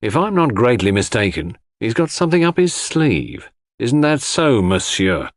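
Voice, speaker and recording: A male narrator puts on a jovial police inspector in a detective audiobook. He is colloquial, cocky and breezy, with a very lively melody and a dry, close sound. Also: medium pitch, normal speed, no echo, close to an everyday voice. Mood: jocular.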